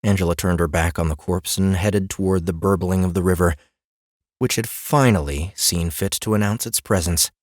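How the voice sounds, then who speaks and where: A man with a fairly low voice narrates a scripted fantasy story. The sound is very dry and close, as in an audiobook. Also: normal speed, no room sound.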